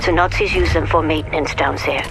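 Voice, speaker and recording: An actor playing an intense resistance leader in a video game, giving a hushed, conspiratorial briefing under loud music. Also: male voice, a little high, steady tone, fairly quick, gravelly.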